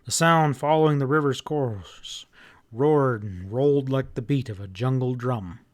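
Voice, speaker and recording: A man narrates a classic novel in the first person. His mid-pitched voice is folksy and expressive, leaning into the drama of the telling. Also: unhurried. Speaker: audiobook narrator.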